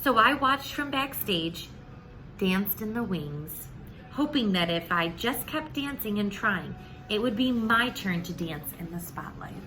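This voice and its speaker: Animated, bright-voiced woman storyteller. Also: mid-range voice, unhurried, slight background noise, poor audio. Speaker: read-aloud storyteller. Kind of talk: expressive children's storybook narration.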